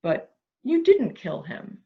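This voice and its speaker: A woman playing a calculating, measured woman who coaxes a dangerous childlike man in an amateur mystery play. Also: fairly high pitch, wildly swooping pitch, dull audio, exaggerated voice. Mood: coaxing, goading. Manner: coaxing, measured, deliberate. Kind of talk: amateur radio-play acting.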